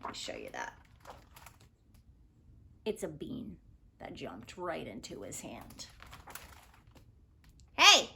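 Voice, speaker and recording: A woman reading a picture book aloud to children in an expressive, theatrical way with deliberate phrasing. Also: very deep voice, vocal fry, slight background noise. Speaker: storybook reader.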